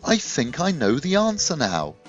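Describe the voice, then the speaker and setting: An earnest, curious magical scarecrow from a children's storybook, played by a man, speaks in a lifted, lilting voice. He is polite and full of wonder, with very expressive swings of pitch. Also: normal speed, muffled recording, faint music behind. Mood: tender, realising.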